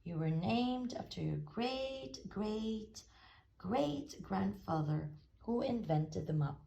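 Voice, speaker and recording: A fond mother pig from a storybook, put on by a woman reader. She sounds gentle and easily wounded, with an animated, unhurried delivery. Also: medium pitch, creaky, reverberant, dull audio, slight background noise, voice pushed up.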